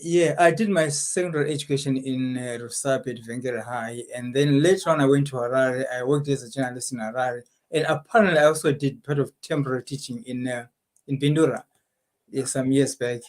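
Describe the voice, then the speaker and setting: A man's voice: medium pitch, steady tone, fairly quick. A man from Zimbabwe with a Zimbabwean accent, a guest answering interview questions reflectively, with some repeated words.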